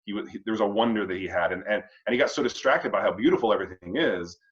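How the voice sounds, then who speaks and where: A man hosting a casual discussion of readings, reflecting in a halting, rambling way and often checking in with the listener. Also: on the low side, fairly bright tone, highs cut off. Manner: halting and rambling, checking in with "you know" and "huh". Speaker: host of a family read-along discussion.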